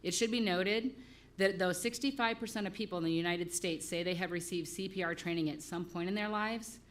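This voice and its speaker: A woman police chief reading a formal recognition at a council meeting in a steady, factual way. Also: lowish voice, fairly quick. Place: council chamber.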